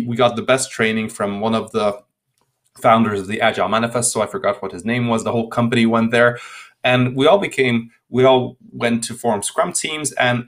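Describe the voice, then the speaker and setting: A man in his thirties from Hungary with a Hungarian accent, answering interview questions over a video call, often repeating words as he restarts sentences. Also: mid-range voice. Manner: frequent word repetitions and restarts. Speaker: guest speaker. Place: home room. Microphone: laptop webcam video call.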